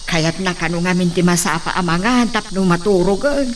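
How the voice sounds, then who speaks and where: A woman's voice: lowish voice, moderate pace, lightly acted. A woman with an Ilocano accent playing a deferential older household servant in a radio drama. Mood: gossipy. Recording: slightly distant, noisy, crickets behind.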